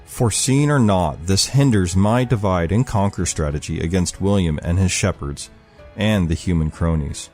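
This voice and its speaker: A man's voice: low voice, moderate pace, a little mellow, close to an everyday voice. A man playing a grim, commanding ex-military leader in an audio story, speaking formally and sternly. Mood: irritated, commanding. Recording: music behind the voice.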